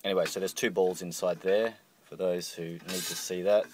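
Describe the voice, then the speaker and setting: Middle-aged man, deep low voice, halting how-to talk on a phone mic, faint background hiss. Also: fairly even intonation. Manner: hesitant, many ums and restarts. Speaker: boat owner showing a repair. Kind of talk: casual how-to walkthrough. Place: on a boat cockpit, indoors at the door track. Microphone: handheld phone camera.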